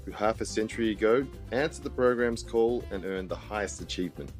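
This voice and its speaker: An actor as a low-voiced, courteous radio co-host. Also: male voice, fairly quick, soft background music, lightly acted. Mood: formal, welcoming. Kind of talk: scripted radio interview in a drama.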